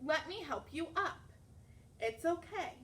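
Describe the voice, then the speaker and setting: A woman's voice: extremely high pitch, animated, unhurried, a bit bright, pitched above the performer's own voice, heavily acted. A woman voicing an earnest, polite young girl from a children's storybook. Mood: reassuring. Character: earnest, polite young girl who loves her dog.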